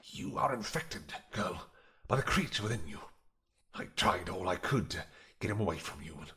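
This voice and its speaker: A man's voice: low-pitched, expressive, moderate pace, bright, forceful tone. A man playing a desperate, deranged older father, grim and pleading, in a dramatic fantasy audio roleplay. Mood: pleading, bitter.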